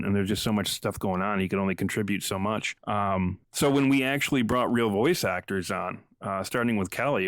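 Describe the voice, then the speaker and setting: A man's voice: lowish voice, no room sound. A man being interviewed as an audio drama creator, talking in a rambling, self-effacing and appreciative way. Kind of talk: casual interview conversation.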